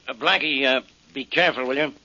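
An actor playing a gruff, exasperated police inspector in a 1940s-style radio drama, blunt and grudging. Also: male voice, medium pitch, quick pace, sharp tone. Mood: uneasy. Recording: muffled old-time radio recording.

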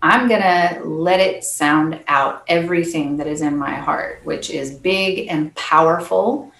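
A soft-spoken woman, a percussionist guest, chatting earnestly and laughing often in an interview. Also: deep voice, measured pace, roomy sound. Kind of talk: casual interview chat.

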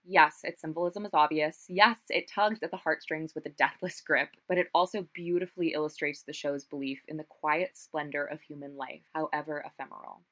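A woman co-hosting a casual, opinionated chat about musical theater, talking in an animated, slangy way. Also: sits low, a little fast, few pauses. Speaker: podcast co-host.

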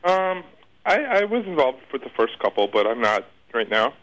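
A man's voice: medium pitch, very animated, moderate pace, fairly bright tone, poor audio. A man answering casually as an interview guest, rambling off the cuff, repeating words and trailing off.

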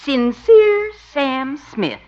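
Sweet elderly mother, 1950s radio comedy: gullible, fluttery, wildly swooping pitch, dull vintage recording. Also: sing-song, extremely slow, heavy background noise, heavily acted. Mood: trusting.

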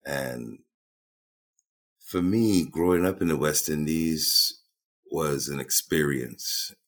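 A man, speaking in an extremely deep voice. He answers as an interview guest, thoughtful and a little halting, restarting his sentences with repeated words. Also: a bit bright. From Trinidad. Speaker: boom operator and filmmaker.

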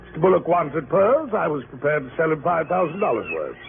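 Male desperate small-time hustler, highish voice, drawn-out theatrical delivery, thin noisy vintage radio sound. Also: lively intonation, gravelly, narrow, tinny audio. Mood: insistent. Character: desperate, wheedling male pearl seller and small-time swindler. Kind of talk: 1950s radio crime drama acting.

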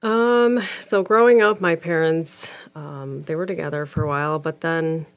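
A woman's voice: sits low, steady tone, normal speed. A woman in her thirties, from Egypt, a guest in a casual interview, speaking frankly and firmly with frequent ums.

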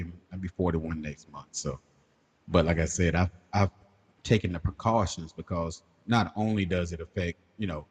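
Deep raspy guy, about 40, laid back podcast talk. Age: 40s. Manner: laid-back, laughs often, drawling Southern slang. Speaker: podcast co-host. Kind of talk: casual chat.

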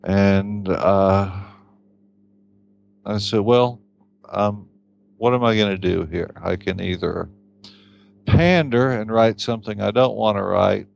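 A man, a novelist, telling his story in a casual interview in a wry, reflective and halting way, on a slightly dull lo-fi recording. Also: on the low side, fairly even intonation, creaky, darkish tone.

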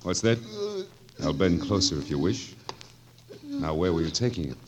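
A man's voice: low voice, animated, moderate pace, crowd noise. An actor playing a sly, cruel king with mock-genial menace in a 1940s radio drama. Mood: menacing, amused. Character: sly, cruel, drily amused old-world king.

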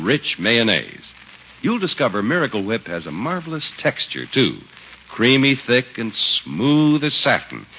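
A man's voice: medium pitch, pushed and bright. A man announcing on 1950s radio in a polished, emphatic, scripted style. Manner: polished, emphatic sales delivery. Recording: dull, hissy 1950s radio recording.

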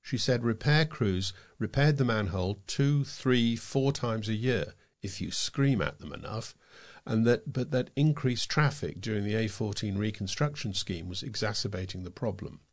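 Low-pitched man reading local news. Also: moderate pace. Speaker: volunteer newsreader for a local talking newspaper.

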